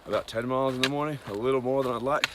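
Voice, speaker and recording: A man's voice: mid-range voice, fairly even intonation, rapid-fire, noisy background. A man giving a casual, rambling daily update on a hiking trail, with many ums and side tangents. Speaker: long-distance hiker. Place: outdoors on a cold trail.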